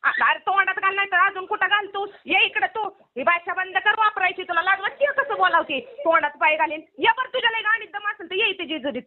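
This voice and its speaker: A woman's voice: very high-pitched, brisk, hardly any pauses. A woman with a rural Maharashtrian Marathi accent, arguing heatedly on the phone in a scolding, taunting, challenging way. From Maharashtra, India. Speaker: caller in a phone quarrel. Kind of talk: heated informal phone argument. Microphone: phone line. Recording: tinny narrowband phone call recording.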